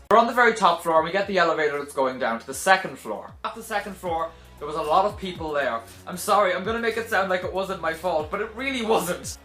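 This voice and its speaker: A young man telling a casual vlog story to camera in a dramatic, animated way, with sarcastic, self-deprecating asides. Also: a little high. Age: young adult. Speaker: video blogger telling a personal story. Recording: roomy echo.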